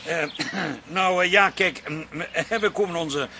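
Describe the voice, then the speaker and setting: High-voiced, hesitant, coaxing son. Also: male voice, a little slow, sharp tone, a little hiss or hum. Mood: placating. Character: awkward, placating grown-up son, smooth-talking flatterer. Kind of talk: Dutch radio comedy drama acting.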